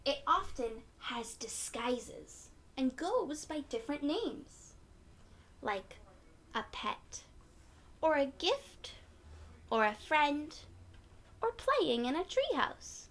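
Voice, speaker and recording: A woman with a high voice reads a picture book aloud for small children. She takes her time, speaking expressively and encouragingly as if the listeners could answer. There is faint noise in the background. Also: very animated, slow pace, pauses often, fairly bright tone. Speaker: children's read-aloud storyteller.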